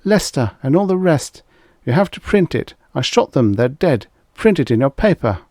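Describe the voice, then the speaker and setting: A man's voice: a little high, very animated, moderate pace, darkish tone, no room sound, studio quality, voice pushed up. A man voicing a desperate, insistent married woman in an audiobook story, pleading and urgent. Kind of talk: audiobook character voice.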